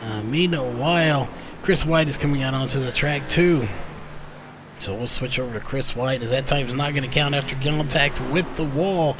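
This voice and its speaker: A man calling qualifying times as a race commentator, his voice going hoarse. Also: medium pitch, normal speed, background noise. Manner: steady, methodical calling of lap times. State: losing his voice. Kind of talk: play-by-play commentary.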